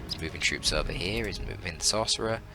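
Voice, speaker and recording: Low voiced guy doing chatty analytical game commentary, loud music and game sound behind him. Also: quick pace. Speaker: esports shoutcaster. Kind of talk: live strategy game commentary. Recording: voice over loud game audio. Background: loud game music and battle sounds.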